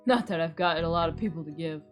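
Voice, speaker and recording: A woman's voice: low voice, fast, soft background music, voice pushed down, lightly acted. A woman voicing a sullen, laid-back young slacker guy who mumbles in slang, in a visual novel. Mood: sullen, casual. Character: gruff, slouchy young man, sullen slacker.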